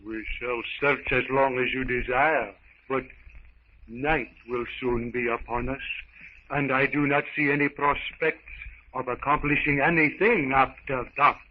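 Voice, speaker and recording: An actor playing a grave, frank lieutenant, speaking formally and emphatically in a 1930s radio serial. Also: male voice, medium pitch, steady tone, a little slow, natural voice. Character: grave, frank French lieutenant leading a jungle search. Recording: muffled 1930s radio recording, faint noise.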